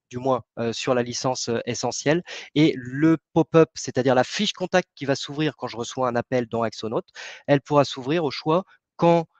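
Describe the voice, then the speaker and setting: A man's voice: mid-range voice, no echo. A man giving a product presentation in a webinar, hesitant, with many fillers and restarts.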